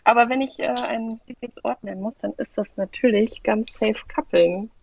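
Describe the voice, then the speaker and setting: A woman from Germany's Lower Rhine, chatting casually as a podcast guest and laughing often. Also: normal speed, gravelly, a little mellow. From Lower Rhine, western Germany. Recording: dull lo-fi sound.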